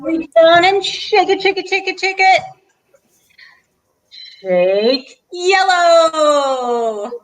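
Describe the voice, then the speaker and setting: High-voiced, slow-paced woman guiding children. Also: sing-song, poor audio. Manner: playful, sing-song instructions to children. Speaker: children's librarian co-hosting story time. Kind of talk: leading a children's movement rhyme.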